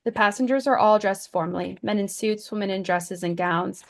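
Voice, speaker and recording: Female narrator, medium pitch, reading scene headings and action lines from a screenplay. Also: normal speed. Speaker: stage-direction reader.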